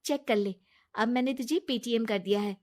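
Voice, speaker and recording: A woman voicing a naive, soft-hearted young wife, earnest and pleading, in a moral story. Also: a little high, normal speed, close to an everyday voice. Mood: apologetic.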